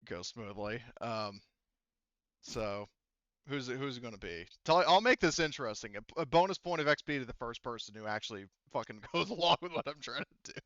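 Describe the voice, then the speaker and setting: A man's voice: medium pitch, lively intonation, fairly quick, fairly bright tone, no room sound, muffled recording. A man narrating a tabletop roleplaying game as game master in a casual, sometimes profane way.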